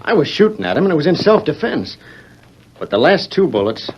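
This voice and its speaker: An actor playing a young escaped convict cowboy in a 1940s radio western, plain-spoken, earnest and resigned. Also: male voice, fairly high pitch, sing-song, brisk. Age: young adult. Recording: very noisy, dull 1940s radio recording.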